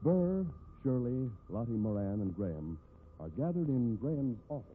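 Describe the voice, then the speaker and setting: A man's voice: mid-range voice, sing-song, moderate pace. An American man as announcer and narrator of a 1950s radio mystery, reading scripted copy in a dramatic style. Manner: dramatic, theatrical. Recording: noisy, narrow-band old radio recording.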